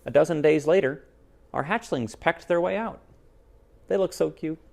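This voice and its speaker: A man reads as a devoted father songbird in a storybook. He sounds fond and a little boastful, with a gravelly edge to the voice. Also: fairly high pitch, moderate pace, darkish tone. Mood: proud, fond. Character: proud, devoted father cardinal bird. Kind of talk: children's picture book character reading.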